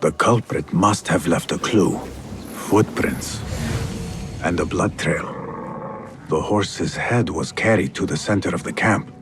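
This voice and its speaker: An actor playing a determined, calm young male investigator in a video game cutscene. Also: low voice, measured pace, music in the background. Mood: focused, investigative.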